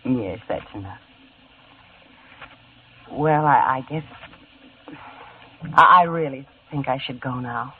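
A woman's voice: very deep voice, expressive, slow, long pauses, slight background noise, full character voice. A young actress playing a shy, flustered woman who stammers and hesitates, in old-time radio acting. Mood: unsure. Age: young adult. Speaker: dinner guest. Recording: tinny old radio broadcast.

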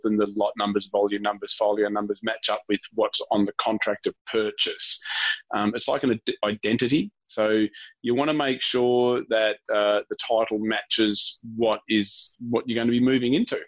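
Australian male expert guest, low voice, halting explanatory delivery, close dry recording. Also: no echo, dull audio. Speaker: guest real estate director. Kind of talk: informal explanatory interview answers.

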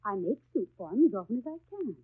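An actress playing an earnest, easily surprised housewife in a 1930s radio commercial. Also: female voice, fairly high pitch, sing-song, fast talker, husky, no echo. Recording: dry, tinny 1930s radio broadcast.

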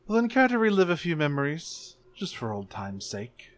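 A man's voice: on the high side, huge pitch swings, moderate pace, voice pushed up. A man voicing a proud, teasing female rebel musician in a theatrical, challenging manner for a tabletop game. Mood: teasing challenge.

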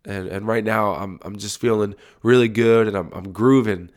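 A young man talks alone into his podcast mic, his head cold making his low voice sound deep and resonant. He speaks in a relaxed, reflective way and often restarts words as he gathers his thoughts. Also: normal speed. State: congested with a cold. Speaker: podcast host. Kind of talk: solo podcast monologue. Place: home recording room.

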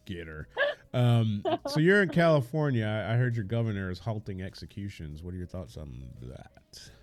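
A man hosting a casual discussion, speaking in a measured, questioning way and pushing back on points. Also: lowish voice, very animated. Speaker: podcast host.

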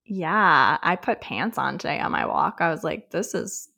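A woman co-hosting a casual podcast, upbeat and quick to laugh as she chats. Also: sits low, lively intonation, creaky, sharp tone. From Pennsylvania. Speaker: podcast co-host.

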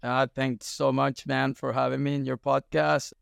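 Gravelly man telling stories. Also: medium pitch, moderate pace, dry recording, clean studio sound. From Venezuela. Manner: relaxed storytelling with many fillers. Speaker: surf coach, guest. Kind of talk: casual interview talk.

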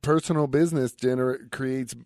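A man's voice: mid-range voice, fairly even intonation, normal speed, darkish tone, no room sound, studio quality. A man co-hosting a casual radio talk show, chatting in a blunt, opinionated way. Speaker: radio co-host. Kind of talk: casual conversational wrestling chat. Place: radio studio.

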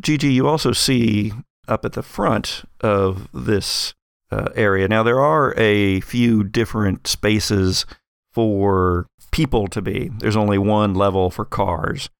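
A man narrating an atmospheric tabletop horror game as game master, in a measured, deliberate way. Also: on the low side, gravelly, clean tone, no echo, studio quality.

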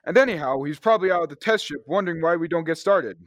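A young man playing an earnest, excitable space captain in a 1930s-style radio serial, exclamatory and emphatic. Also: fairly high pitch, fast, no echo, clean studio sound. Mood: uneasy. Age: young adult.

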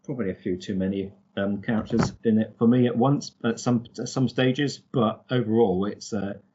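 A man co-hosting a podcast, discussing books casually and haltingly, repeating words as he thinks. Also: on the low side, steady tone, dull audio, noisy background. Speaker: podcast co-host.

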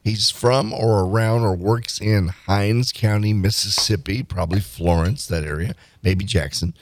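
A man's voice: lowish voice, unhurried, background noise. A man co-hosting a radio show, relaying what he found in a casual chat, recorded in a studio.

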